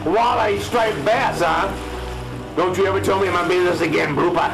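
Crusty old fisherman acted by a man, high voice, scornful, folksy, bossy, radio drama, music underneath. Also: normal speed, low-quality recording. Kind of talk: amateur radio drama spoof acting.

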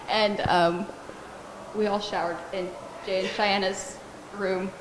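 A teenage cheerleader answering slowly with giggles. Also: female voice, mid-range voice, flat delivery. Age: teens. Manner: giggly, slow. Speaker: cheerleader guest. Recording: echoey hall from far off with noise.

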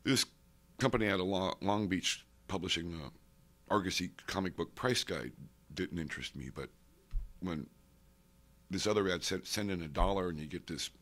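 Deep-voiced man rambling through memories. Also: moderate pace, pauses often, fairly bright tone. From grew up abroad, Saudi Arabia, Africa and India. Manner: rambling, digressive, loses the question. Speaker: interviewee, comic collector. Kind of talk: rambling oral-history interview. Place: studio with painted backdrop, indoors. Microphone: stand mic close in front.

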